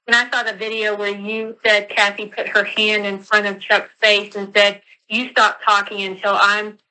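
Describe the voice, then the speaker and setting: A woman's voice: mid-range voice, level tone, normal speed, bright, forceful tone, smooth. A woman on a phone call, casually recalling things as she gives her account. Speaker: phone interviewee giving a statement. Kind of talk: casual conversational recollection. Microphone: telephone call.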